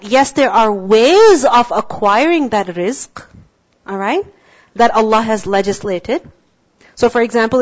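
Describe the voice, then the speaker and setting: Woman teaching Quranic verses. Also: on the high side. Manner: asks the class questions and answers them herself; drawn-out Arabic recitation. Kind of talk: Quran tafsir lecture. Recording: dull lo-fi recording.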